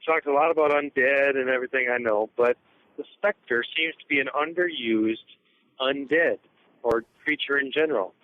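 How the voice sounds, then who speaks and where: A man calling in to a podcast with an earnest, steady voicemail request. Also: medium pitch, moderate pace, slight background noise. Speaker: caller. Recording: tinny phone line.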